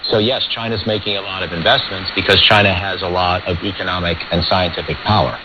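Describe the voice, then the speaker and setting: An American man giving measured, diplomatic, explanatory answers as a foreign policy expert in an interview. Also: low voice, steady tone. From United States. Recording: dull lo-fi radio relay with static noise.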